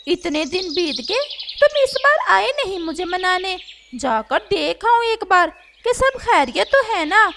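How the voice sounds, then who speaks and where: A woman's voice: extremely high pitch, a bit bright, slight background noise, voice pushed up, full character voice. A woman voicing a jilted, confrontational crow wife in a Hindi cartoon, accusing and sarcastic. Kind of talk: Hindi cartoon character acting.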